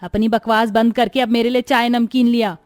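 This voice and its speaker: A woman voicing a domineering middle-aged mother-in-law in a cartoon story, sharp and nagging in manner. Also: fairly high pitch, little pitch movement, brisk, close to an everyday voice. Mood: scolding. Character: haughty, scolding middle-aged Indian mother-in-law, doting on her daughters. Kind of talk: acted Hindi cartoon story dialogue.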